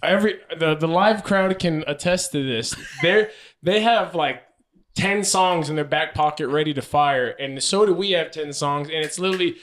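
A man's voice: fairly high pitch, normal speed, fairly bright tone, slight background noise. A young man hosting a podcast, chatting casually in a rambling way with lots of fillers. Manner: rambling, filler-heavy, self-deprecating. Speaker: podcast host. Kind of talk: casual chat.

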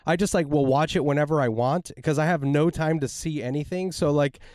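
A man's voice: a little high, brisk, darkish tone, dry recording, studio quality. A man co-hosting a casual podcast chat, talking in a chatty, rambling, self-deprecating way.

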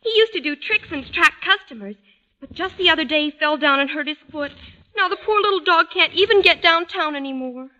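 A woman's voice: sits high, lively intonation, a little fast, bright, forceful tone. An actress playing an earnest, gushing teenage schoolgirl with a hesitant manner in a 1940s radio sitcom. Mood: earnest, pleading. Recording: old radio broadcast, dull band.

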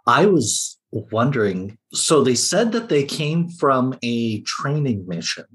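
A man's voice: mid-range voice, big pitch swings, takes it slowly. A man talking casually as a panelist on a podcast about a TV series.

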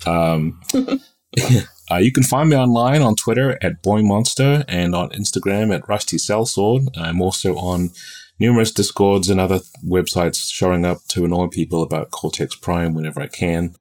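A man with a very low voice and a New Zealand accent softened by American. He chats easily as a guest on a podcast and laughs between remarks. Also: normal speed. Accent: New Zealand-American mix. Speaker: game designer guest. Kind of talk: casual podcast interview.

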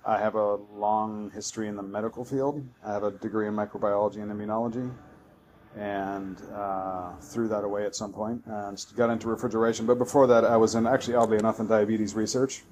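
Male guest, rapid talker, lowish voice, faint room hum. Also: flat delivery, a little mellow. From Zambia, Tanzania and Norway. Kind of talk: casual interview talk.

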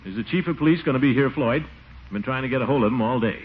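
An actor playing a flustered, defensive middle-aged town commissioner in a radio comedy, with a fruity baritone. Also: male voice, very fast, natural voice. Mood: worried, grumpy. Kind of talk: old-time radio comedy acting. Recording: muffled, noisy vintage radio recording.

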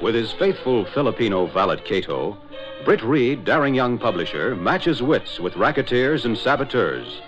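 Dramatic, animated male 1940s radio announcer. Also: mid-range voice, moderate pace, music in the background. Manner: dramatic, declamatory. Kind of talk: 1940s radio scripted narration. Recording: dull old 1940s radio recording.

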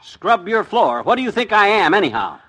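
A man's voice: sits high, big pitch swings, a little fast, pushed and bright, heavily acted. A man playing a forgetful, flustered husband in an old-time radio comedy, blustering in folksy, ungrammatical talk. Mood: indignant, defiant.

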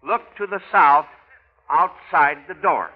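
High-voiced American male quiz host, chatty and encouraging, 1940s radio style, narrow vintage broadcast sound. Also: takes it slowly. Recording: old narrow-band radio recording.

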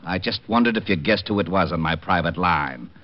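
An actor playing a blunt, badgering, suspicious police lieutenant in an old-time radio drama. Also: male voice, low-pitched, brisk, close to an everyday voice. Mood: exasperated. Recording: muffled old radio recording.

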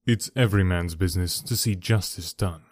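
A man reading an audiobook and voicing a calm, incisive detective who speaks in a measured, courteous, precise way. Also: sits low, no room sound, clean studio sound, pitched below the performer's own voice.

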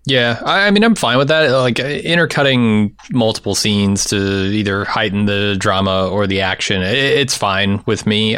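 A man co-hosting a podcast, giving his views in casual discussion with an agreeable, measured manner. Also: on the low side, fairly bright tone, smooth, dry recording, studio quality. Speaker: podcast co-host.

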